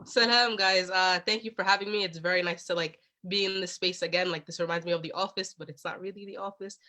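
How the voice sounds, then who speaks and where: A woman's voice: fairly low pitch, fairly even intonation, fast, sharp tone. A woman in her twenties chatting casually and informally as a guest on a video call. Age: 20s. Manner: informal, peppered with "like" and "you know". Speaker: guest, college student and former intern. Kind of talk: casual chat.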